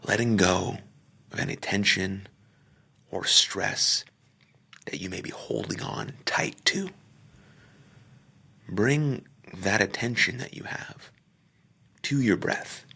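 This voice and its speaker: Slow, husky, low-voiced man guiding meditation. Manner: gentle, drawn-out short phrases with pauses, sometimes trailing into near-whisper. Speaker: meditation guide; podcaster. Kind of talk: guided meditation, soft slow instruction.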